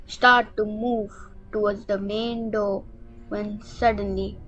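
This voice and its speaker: A woman's voice: medium pitch, flat delivery, slow pace. A woman giving scripted narration for a spooky radio play. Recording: dull audio under loud music. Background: loud music.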